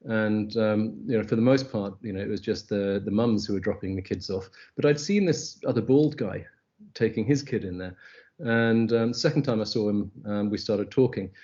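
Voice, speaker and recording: A middle-aged Scottish man from the Highlands, a company head telling stories in a casual interview, wry, with many ums and restarts. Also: moderate pace, warm tone, dull audio. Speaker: agency CEO, guest. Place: South Africa, remote call.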